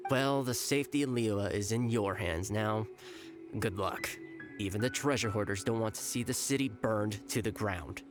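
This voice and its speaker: An actor playing a wary, pragmatic bandit in a video game, measured and persuasive, with polite menace. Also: male voice, on the low side, natural voice. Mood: resigned. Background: game music.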